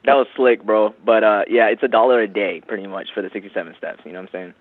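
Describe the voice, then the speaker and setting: A man on a phone, giving a casual, slangy, persuasive sales pitch peppered with man and bro. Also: fairly even intonation, quick pace. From San Francisco Bay Area, USA. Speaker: phone sales representative for a self-help program. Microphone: telephone. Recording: tinny narrowband phone line.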